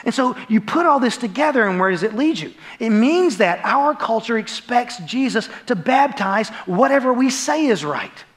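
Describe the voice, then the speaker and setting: A man's voice: very high-pitched, very animated, moderate pace, hardly any pauses, fairly bright tone. An American man in his forties preaching a sermon in a conversational, emphatic way, often tagging points with short questions. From United States. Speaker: pastor. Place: large dark church auditorium, on stage.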